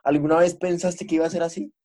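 A man with a Colombian Spanish accent hosting a casual interview over a video call, relaxed, asking open questions and laughing often. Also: fairly high pitch, fast. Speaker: podcast host.

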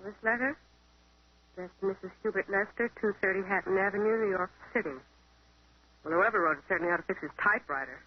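An actress playing a jealous, sharp-tongued American wife, indignant and scornful, in 1930s radio acting style. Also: female voice, medium pitch, fast talker, slightly rough, natural voice. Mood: suspicious, scornful. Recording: muffled 1930s radio recording.

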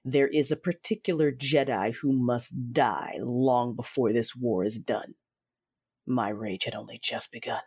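An actor playing a cruel, vengeful Sith lord, narrating an audio drama in a deliberate, commanding, menacing way with a husky voice. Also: mid-range voice, a little mellow. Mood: grieving rage. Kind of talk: first-person audio drama narration with dialogue. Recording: dramatic music behind the voice. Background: music.

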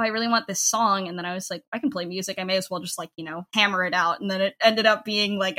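A woman's voice: medium pitch, pushed and bright. A woman chatting casually as an interview guest, peppering talk with "like" and acting out quotes. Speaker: guest, audio drama writer. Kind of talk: casual chat. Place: at home, remote interview.

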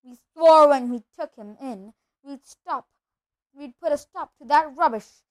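A woman's voice: high voice, a little slow, poor audio. A woman playing a stubborn middle-aged uncle who blusters indignantly and emphatically in a storybook reading.

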